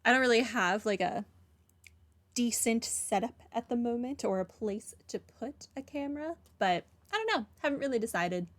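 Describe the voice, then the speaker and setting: A woman chatting casually as she streams a game, musing in hesitant, halting phrases. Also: fairly high pitch, expressive, no echo. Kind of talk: casual playthrough commentary, chatting to viewers.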